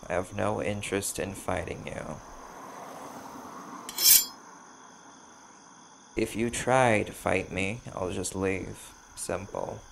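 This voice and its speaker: Man acting a low, very gravelly vampire bounty hunter. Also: noisy background, full character voice. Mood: cool, taunting. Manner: measured, dry, pointed pauses. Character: cautious, sardonic male vampire bounty hunter, cool and bargaining. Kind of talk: audio roleplay, slow dramatic monologue to the listener.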